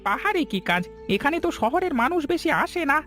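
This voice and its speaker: A man's voice: extremely high pitch, sing-song, a little fast, pushed and bright, faint music behind, heavily acted. A man playing a kind but probing hill villager in a cartoon story, plain-spoken and questioning.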